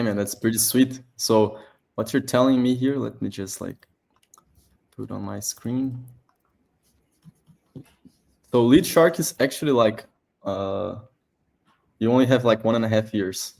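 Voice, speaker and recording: A man's voice: fairly low pitch, unhurried, vocal fry, long pauses, a little mellow. A young man from Brazil, a native Portuguese speaker hosting a live stream, speaking English in a friendly, joking way with lots of laughter. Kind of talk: casual interview on a live stream.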